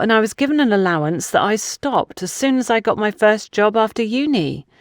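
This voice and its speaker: A woman voicing a composed, probing woman with a family grievance in an audio mystery, speaking in an earnest, measured way. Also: medium pitch, no echo, studio quality, pitched above the performer's own voice, close to an everyday voice.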